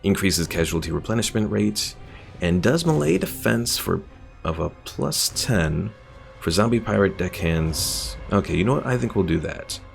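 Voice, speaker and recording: A man with a very low, gravelly voice chats through his strategy game on a live stream. He thinks aloud, reads out unit stats and viewer comments, and fills his pauses with plenty of uhs. Faint game music plays underneath. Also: lively intonation.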